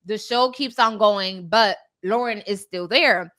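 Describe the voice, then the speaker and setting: Bright-voiced woman chatting. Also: medium pitch, measured pace. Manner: conversational, often seeking agreement with "right?". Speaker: talk show host. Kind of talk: casual chat recapping celebrity news.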